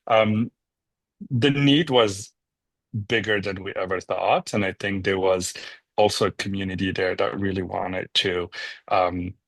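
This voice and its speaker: A low-voiced man from Morocco answers interview questions as the founder of a nonprofit, reflective and unhurried. He often pauses on "um" and checks in with "right?". The sound is close and dry. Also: no echo.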